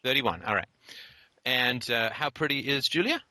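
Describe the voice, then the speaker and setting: Male call-in host, blunt and lecturing, bright forceful voice, dry close mic. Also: mid-range voice, animated, moderate pace, dry recording. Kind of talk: blunt philosophical call-in talk.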